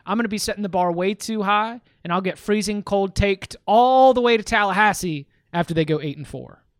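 A man's voice: sits high, fairly quick, dry recording, studio quality. A man hosting a casual sports talk podcast, thinking aloud with lots of restarts. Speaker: podcast host.

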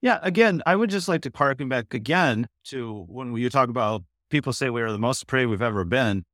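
A man's voice: medium pitch, expressive, brisk, fairly bright tone, no room sound. The sardonic guy a listener would hear as the guest on a chatty comedy podcast, recorded dry and close. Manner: wry, sarcastic. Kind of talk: casual comedic chat.

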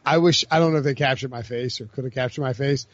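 A man's voice: medium pitch, fast talker, no room sound. A man hosting a casual sports talk podcast, rambling with lots of filler words. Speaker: podcast host. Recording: dry lo-fi podcast mic.

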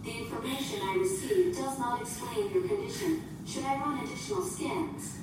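A woman's voice: very high-pitched, very animated, moderate pace. A woman playing a polite, literal shipboard artificial intelligence in a science fiction audio drama, speaking formally and without emotion. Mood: detached, informative. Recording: roomy echo, distant, heavy noisy ambience.

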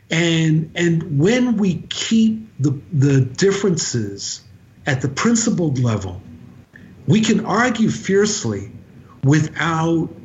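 A man speaks as an interview guest in a fairly high voice for a male. His delivery is very slow and deliberate, with halting pauses and emphatic repetition. The sound is a little dull and lo-fi. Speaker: podcast guest, author and activist. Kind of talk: conversational interview commentary. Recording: dull, lo-fi podcast audio.

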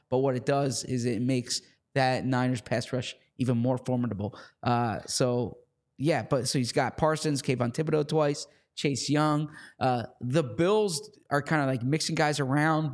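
A man with a slightly hoarse voice talks through football picks in a loose, chatty way. He stumbles over his openings, repeating a word or two before he gets going. His pitch sits in the middle range. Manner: chatty, repeats words when starting sentences. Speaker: podcast co-host. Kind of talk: casual sports chat.

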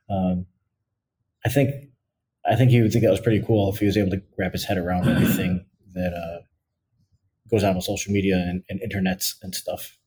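A middle-aged man co-hosting a podcast, excitable and laughing, with casual words like "freaking" and "dude". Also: lowish voice, slight background noise. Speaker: podcast co-host and business owner. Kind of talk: casual chat.

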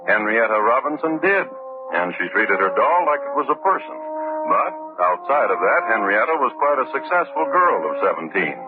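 An actor playing a wry, urbane crime-story narrator, reading scripted old-time radio narration with dry, deadpan irony. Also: male voice, medium pitch, normal speed, lightly acted. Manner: deadpan, dryly ironic. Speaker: narrator and host. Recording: muffled old radio recording, music.

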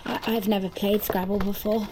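A woman acting an earnest, naive, literal-minded companion in an audio drama, speaking in a simple, guileless, deliberate way. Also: mid-range voice, level tone, background noise, natural voice. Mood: puzzled, meek. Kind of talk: naturalistic audio fiction acting.

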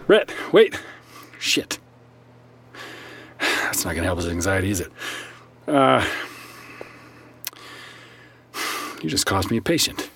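A man's voice: low voice, big pitch swings, measured pace, gravelly, long pauses, a bit bright, a little hiss or hum, heavily acted. A man playing a wry, chatty therapist in an audio drama, talking in a hesitant, rambling, sardonic way. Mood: flustered exasperation. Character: wry, chatty, flustered male therapist who brawls apologetically. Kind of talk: naturalistic audio drama acting.

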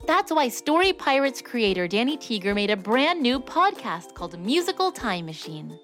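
A woman's voice: a little high, very animated, normal speed, a bit bright, faint music behind. A woman announcer reading a scripted podcast advertisement with exuberant salesmanship.

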